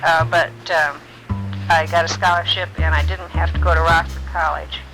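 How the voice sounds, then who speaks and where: An elderly woman from Illinois in her nineties, gently recalling her life in an unhurried way, often pausing with um and uh. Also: deep voice, level tone, over music, rough recording. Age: older. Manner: gentle, unhurried storytelling with many ums and uhs. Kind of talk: reminiscing, answering memory prompts.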